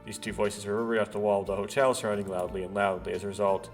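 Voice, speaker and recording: A man doing a casual let's play, haltingly thinking aloud and reading visual novel text out. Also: on the low side, flat delivery. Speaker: let's player. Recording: background music behind voice. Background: game music.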